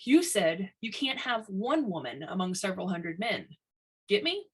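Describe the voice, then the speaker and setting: Woman reading as a husky, fatherly veteran supervisor. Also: medium pitch, very animated, measured pace. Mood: coaxing. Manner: plain-spoken, fatherly. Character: veteran older male supervisor called Dad, wry, steady, persuasive.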